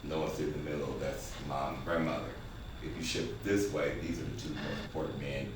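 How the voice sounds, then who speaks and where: A man explaining a point to a group in a deliberate way, with emphatic repetition. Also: extremely low pitch, flat delivery. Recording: very echoey room, distant mic, noisy.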